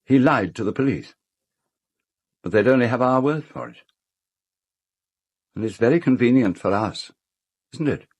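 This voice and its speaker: A worldly, sardonic British man, played by a radio actor, talks articulately in a mid-pitched, somewhat husky voice. His delivery is urbane and wry, recorded dry with no room around it. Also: normal speed, pauses often, darkish tone, dry recording, highs cut off, close to an everyday voice. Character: urbane, sardonic male host of an island house. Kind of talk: radio drama acting.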